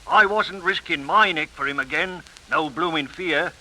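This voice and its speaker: High-voiced bitter crook. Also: male voice, moderate pace, bright, forceful tone. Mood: resentful, cagey. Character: bitter, bargaining male London criminal turned informer. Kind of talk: 1930s radio acting. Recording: scratchy 1930s radio broadcast.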